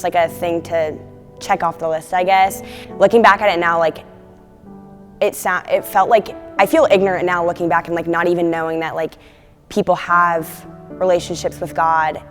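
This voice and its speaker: A woman's voice: on the low side, long reverb tail. A young woman from Connecticut, a college student, reflecting on her faith with many casual fillers. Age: young adult. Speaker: student giving testimony. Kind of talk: personal testimony.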